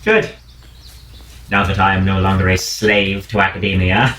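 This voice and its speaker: An actor playing an ardent, impulsive young gentleman in a period audio play. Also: low-pitched, steady tone, normal speed, echoey room, recorded from a distance. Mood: exuberant.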